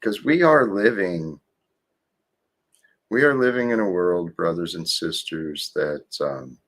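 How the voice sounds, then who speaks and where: A man hosting a livestream, talking slowly and calmly about faith and gratitude in a soothing, gently preaching manner. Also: low-pitched. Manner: slow, calm, gently preaching. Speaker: livestream host. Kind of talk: spiritual livestream talk.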